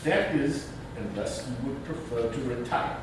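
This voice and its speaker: A distant, noisy hall recording of a man acting a pompous middle-aged uncle. He speaks slowly and formally, with sardonic stage-comedy weight on his words. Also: natural voice. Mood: formal, stern. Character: pompous, wordy bachelor uncle of forty-two, easily affronted. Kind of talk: staged readers theater comedy. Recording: echoey hall, far-off mic, clear rumbling noise.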